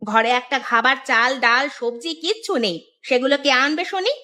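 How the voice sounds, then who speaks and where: A woman voicing a nagging village housewife and mother, scolding and sharp-tongued, in an audio story. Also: high-pitched, moderate pace. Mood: exasperated.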